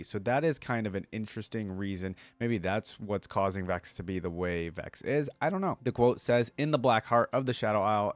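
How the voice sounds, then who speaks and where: A man hosting a reaction channel, casually commenting and reading aloud, thinking out loud with informal asides. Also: mid-range voice, no echo.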